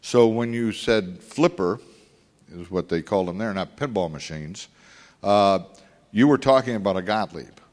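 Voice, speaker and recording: An older man recalling his business career in a halting, rambling way with many fillers. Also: lowish voice, a little mellow, in a large hall. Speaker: former industry executive. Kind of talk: oral history interview.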